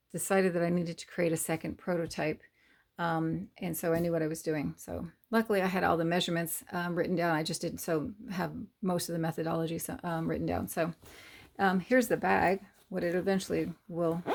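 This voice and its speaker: Hoarse, gravelly woman explaining sewing. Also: fairly low pitch. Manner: rambling, hesitant with many fillers. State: hoarse, losing her voice. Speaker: sewing channel host. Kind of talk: casual sewing tutorial.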